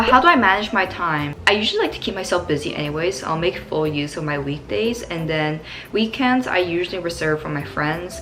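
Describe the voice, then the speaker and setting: Young Canadian woman vlogger, slightly low voice, breezy Q&A chat over soft music. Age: young adult. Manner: upbeat, chatty, many "like" fillers. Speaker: vlogger answering viewer questions. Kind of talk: casual Q&A vlog.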